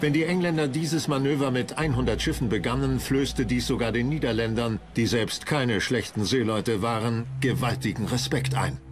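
A man's voice: mid-range voice, moderate pace, hardly any pauses, slight background noise. A man reading scripted narration as the narrator of a history documentary.

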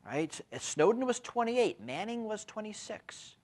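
Man, 50s, university lecturer; animated, rising and falling delivery, peppers points with tag questions; lapel mic. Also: high-pitched, unhurried. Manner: frequent tag questions, lively emphatic delivery. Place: lecture room with window blinds.

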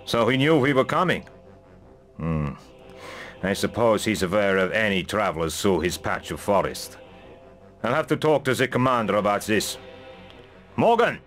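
A man's voice: lowish voice, very animated, moderate pace, pauses often, fairly bright tone, soft background music. A man playing a shrewd, suspicious mercenary lieutenant, serious and plain-spoken, in a fantasy video game.

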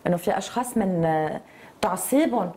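A woman's voice: medium pitch, fairly quick, mellow. A woman in her forties with a Lebanese Arabic accent, hosting a conversational television interview. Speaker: TV host. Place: TV studio.

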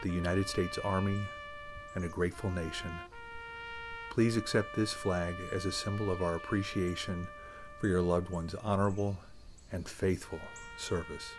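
A man's voice: low voice, flat delivery, moderate pace, over music, close to an everyday voice. A man acting a solemn army officer with a formal, ceremonial manner in an audio drama. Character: solemn male army officer presenting funeral flag.

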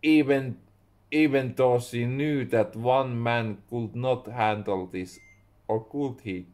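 A young man with a Finnish accent, a native Finnish speaker, slowly reading his own story aloud at home and stopping to correct his words. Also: medium pitch, steady tone, darkish tone. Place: small room indoors at home.

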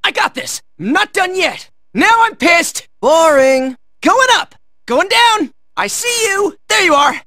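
An American man playing a cocky, excitable teenage boy in a video game, barking out brash, high-strung battle lines. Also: takes it slowly, no echo, clean studio sound, heavily acted. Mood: fired-up, cocky.

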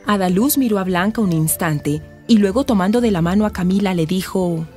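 A woman's voice: medium pitch, brisk, over music, natural voice. A woman narrator reading scripted narration for a radio drama. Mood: storytelling.

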